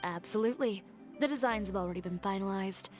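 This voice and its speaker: A woman voicing a composed female fashion designer who speaks calmly and measuredly, with a dry, teasing wit, in a video game. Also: medium pitch, faint music behind, lightly acted. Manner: calm, measured, dryly teasing.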